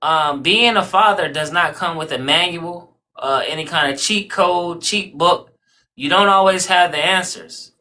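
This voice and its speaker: Bright-voiced man in his thirties. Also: a little high, unhurried, a little hiss or hum. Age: 30s. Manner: reflective, relaxed, with fillers like "you know what I mean". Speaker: barber, podcast guest. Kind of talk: casual interview chat.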